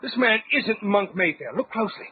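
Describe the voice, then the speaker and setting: An actor playing a cautious gentleman adventurer, proper and deliberate, in an old-time radio drama. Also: high-pitched, expressive, breathy edge. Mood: wary, reasoning. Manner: proper, deliberate, formal wording. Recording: tinny narrow-band radio recording with hiss.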